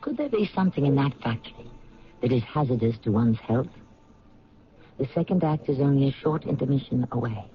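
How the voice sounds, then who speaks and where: A man's voice: mid-range voice, animated, brisk. An American man hosting a radio mystery with scripted, pointed and dramatic narration. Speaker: host. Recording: dull vintage radio broadcast.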